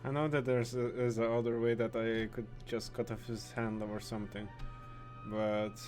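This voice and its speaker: A man giving casual gameplay reactions as a video host, speaking in a halting, informal, sweary way. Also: mid-range voice, little pitch movement, darkish tone, soft background music.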